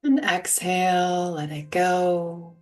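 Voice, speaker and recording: A woman leading a guided relaxation as a yoga teacher, speaking in a soothing, gentle, unhurried manner. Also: on the low side, flat delivery. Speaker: yoga nidra meditation guide. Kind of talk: guided meditation, soft spoken instruction. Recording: distant mic, music behind. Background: soft music or sound bowls.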